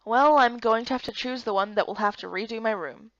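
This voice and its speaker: A woman's voice: on the high side, a little fast, a bit bright, dry recording, muffled recording. A woman reading scripted first-person narration as the voice-over for a visual novel game.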